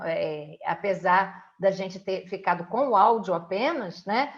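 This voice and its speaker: Woman, Brazilian accent, very slow delivery, conversational, reflective, tag questions, lo-fi sound. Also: medium pitch. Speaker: interviewer, theatre director. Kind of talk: conversational interview talk. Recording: dull, lo-fi audio.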